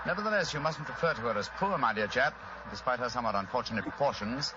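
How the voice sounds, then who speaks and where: An actor playing an urbane master detective, brisk, precise and formal, in 1940s radio drama style. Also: male voice, mid-range voice, expressive, close to an everyday voice. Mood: gently reproving. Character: Sherlock Holmes, urbane, admiring English master detective. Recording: muffled, noisy old radio recording.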